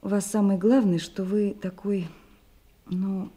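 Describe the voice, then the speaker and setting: A woman's voice: medium pitch, level tone, a little slow, mellow, close to an everyday voice. An actress playing a sensitive, anxious woman shyly in love in a Russian radio play, impulsive and emotional. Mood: agitated, tender.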